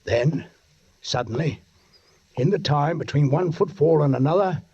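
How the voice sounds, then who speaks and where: An actor playing a brooding, watchful London police sergeant who narrates in 1940s radio drama style. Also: male voice, a little high, a little slow, mellow, breathy edge. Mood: brooding, suspenseful. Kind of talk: 1940s radio acting, first-person narration. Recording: dull 1940s radio recording, music behind.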